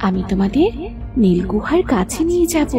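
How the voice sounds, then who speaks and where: A woman voicing a gentle, earnest fairy who speaks solemnly and instructively in a children's cartoon. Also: mid-range voice, sing-song, brisk, over music, voice pushed down.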